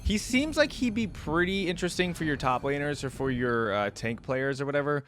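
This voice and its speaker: A man's voice: fairly high pitch, lively intonation, fairly quick, noisy background. A man giving casual, off-the-cuff reaction commentary as host of a reaction channel. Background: music and video audio.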